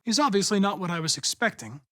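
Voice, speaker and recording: A man with an American accent playing a polite, determined young android police investigator in a video game, speaking in a precise, formal, measured way. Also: high voice, animated, fairly bright tone. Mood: calm, polite. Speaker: actor voicing a video game character.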